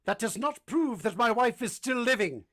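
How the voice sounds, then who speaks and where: Brooding period gentleman played by a male actor, bitter, anguished, declamatory radio drama delivery. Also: extremely high pitch, animated, moderate pace, heavily acted. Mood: bitter defiance. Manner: impassioned, declamatory. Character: anguished, brooding English gentleman, bitter and pleading with his beloved.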